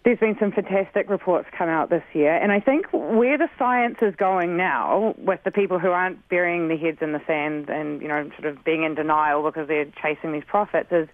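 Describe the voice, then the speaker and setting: A man's voice: on the high side, moderate pace, hardly any pauses, no echo. New Zealand man on a tinny phone line. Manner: earnest, frustrated, advocating. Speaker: community group spokesperson on a radio call. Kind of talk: earnest phone-in interview.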